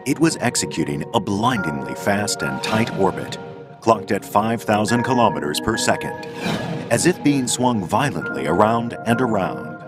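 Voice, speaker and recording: A man delivering scripted documentary narration in a measured, deliberate manner. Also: lowish voice, very animated. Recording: music under the voice. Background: background music.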